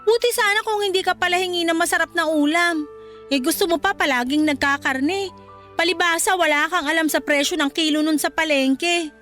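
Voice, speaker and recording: A woman's voice: very high voice, brisk, a bit bright, soft background music. An actress playing a nagging, exasperated young wife and mother in a Filipino radio drama, scolding and pleading.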